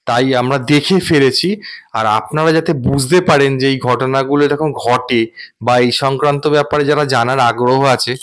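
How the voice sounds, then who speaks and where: A man hosting a vlog, recounting a personal experience in an earnest, wordy, explanatory way. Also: mid-range voice. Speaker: vlogger and host.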